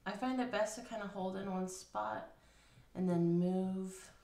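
A woman's voice: medium pitch, a little slow, dark tone. A woman casually explaining a craft step by step at a table, thinking aloud with fillers. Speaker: craft instructor. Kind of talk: casual hands-on craft tutorial. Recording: roomy, slightly distant.

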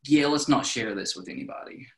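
A man's voice: medium pitch, a little fast, a bit bright. A man hosting a casual podcast, laid-back and slangy, calling people dude. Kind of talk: casual chat.

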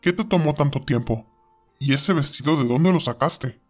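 An ill-tempered young bridegroom with no patience, played by a man. His voice is fairly high, with faint music behind it in a romance audio drama. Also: normal speed, close to an everyday voice. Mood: irritated.

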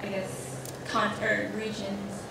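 A woman in the audience asks a panel question, hesitant and full of fillers. She sounds distant and boomy in a big hall, with a clear hum underneath. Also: flat delivery, slow pace. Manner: hesitant, with many uh and um. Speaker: audience member asking a question. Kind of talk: question from the floor. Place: large echoey hall with a live audience. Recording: far off in an echoey hall, hum.

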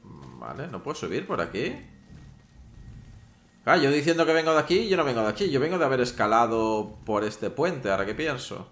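A man's voice: medium pitch, big pitch swings, fairly quick, a bit bright. Chatty man playing a game. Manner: chatty, talks to viewers. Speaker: gameplay streamer. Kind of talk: casual let's-play commentary. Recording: roomy with faint noise.

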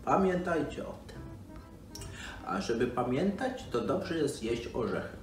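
A middle-aged man playing an excitable, folksy old storyteller for children, exclaiming in amazement, halting and repeating himself. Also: medium pitch, distant mic. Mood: gentle, advising. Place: living room by a fireplace. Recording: roomy, off-mic, music behind.

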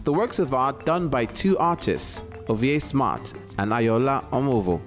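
Male reporter over loud music. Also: medium pitch, lively intonation, a little slow. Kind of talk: scripted news voice-over.

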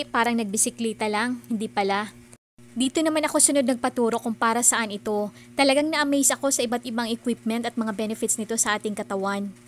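A middle-aged woman, narrating her own vlog in a chatty, earnest, encouraging voice-over. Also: on the high side. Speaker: vlogger.

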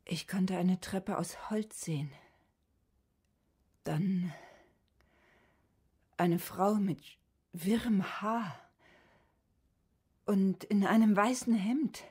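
An actress playing a troubled, fragile patient in a radio drama, recalling nightmares in a halting, hushed, breathy way. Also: female voice, medium pitch, heavily acted. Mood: haunted, fearful. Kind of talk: German radio drama acting.

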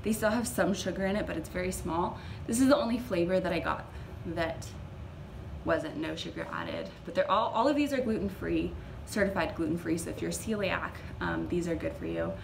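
A woman's voice: fairly low pitch, moderate pace, creaky, a little hiss or hum, low-quality recording. A young woman in her twenties chatting casually about her grocery haul, upbeat and full of little fillers, recorded at home on a close camera. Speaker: vlogger. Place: small indoor room at home.

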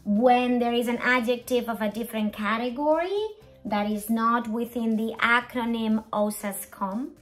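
A middle-aged woman presenting an English grammar lesson as a teacher, expressive and emphatic, with deliberate enunciation and rhetorical questions. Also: on the high side. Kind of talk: animated scripted grammar lesson. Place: small indoor room at a whiteboard.

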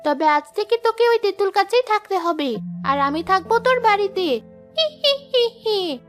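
Shrill, taunting girl-ghost villain. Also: animated, moderate pace, soft background music. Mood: cackling, triumphant. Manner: taunting, bossy. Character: wicked ghost in a girl's body, bossy, cackling. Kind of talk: children's cartoon voice acting.

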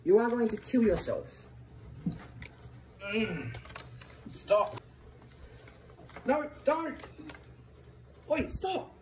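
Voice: very animated, very slow, pauses often, a little mellow, a little hiss or hum. A person with an Australian accent filming and teasing a sibling in casual, bossy banter. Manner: mocking, bossy, jumps between high and low. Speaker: vlogger filming a family skit. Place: small bedroom with blinds and a gaming desk. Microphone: handheld phone. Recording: echoey bedroom on a phone.

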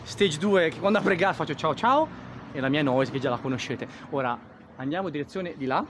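A man's voice: fairly high pitch, expressive, a little fast. A man giving casual, exclamatory commentary as he hosts a motorcycle vlog outdoors. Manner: effusive, exclamatory, enthusiastic. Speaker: motovlogger host. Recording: loud wind and engine noise.

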